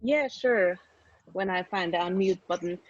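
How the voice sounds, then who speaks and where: A woman's voice: fairly low pitch, measured pace. A woman in her thirties presenting an online design workshop over a video call, casual and full of fillers. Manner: casual, filler-heavy, trailing off. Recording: dull, dry video call audio.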